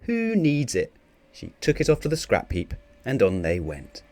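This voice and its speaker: A man's voice: sits low, sing-song, normal speed, a little mellow, no echo. A man narrating a children's picture book expressively, theatrical on the sound-effect words. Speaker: storybook reader.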